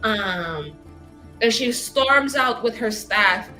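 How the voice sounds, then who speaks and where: A woman's voice: on the high side, lively intonation, slow, bright, forceful tone, faint music behind, low-quality recording. A woman improvising her character's actions in a tabletop roleplaying game, playful, dramatic and slangy. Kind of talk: animated improvised story narration.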